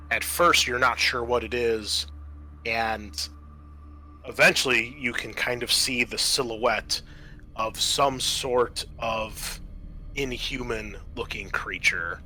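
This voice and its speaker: A man running a tabletop game as game master, describing scenes in a halting, improvised way with many pauses. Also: mid-range voice, sharp tone, poor audio.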